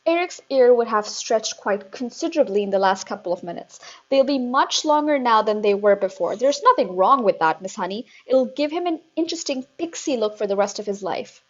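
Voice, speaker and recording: A woman voicing a huge, cruel headmistress who barks and shouts with contempt, on a dull lo-fi recording. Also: on the high side, expressive, a little fast, hardly any pauses. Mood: bellowing scorn. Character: huge bullying female headmistress, furious and cruel.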